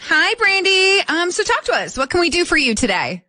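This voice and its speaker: Bright, animated woman radio host. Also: extremely high pitch, fairly quick. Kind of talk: casual radio banter.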